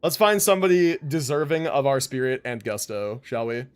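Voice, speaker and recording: A man's voice: mid-range voice, lively intonation, moderate pace, smooth. A young man chatting casually to his livestream viewers as a game streamer, informal and rambling, with free swearing.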